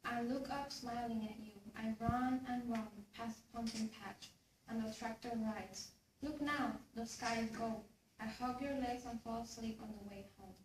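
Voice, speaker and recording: A woman's voice: on the high side, fairly even intonation, normal speed. A young woman reading scripted lines aloud as a student in a readers theater group. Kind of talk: scripted recitation for a speech class. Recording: echoey room, distant mic, clear noise.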